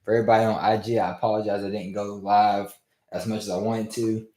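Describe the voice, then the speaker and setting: A man's voice: lowish voice, fast talker, a little hiss or hum. Male business coach, livestream host, casual hype delivery, slightly strained voice, roomy reverb. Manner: energetic and informal, addresses viewers as "y'all" and "fam". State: strained voice after losing it. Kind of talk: casual motivational livestream talk. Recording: roomy echo.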